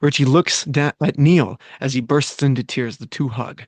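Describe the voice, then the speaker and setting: Man plainly reading stage directions. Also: medium pitch. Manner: plain, brisk reading of action lines. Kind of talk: reading a screenplay aloud.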